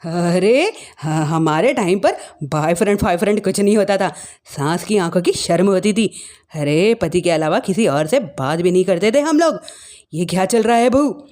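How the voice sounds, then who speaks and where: A woman's voice: fairly low pitch, animated, normal speed, a little mellow, close to an everyday voice. A woman voicing a scornful older mother-in-law in a dramatic, sarcastic, scolding manner for a family story. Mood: flustered, indignant.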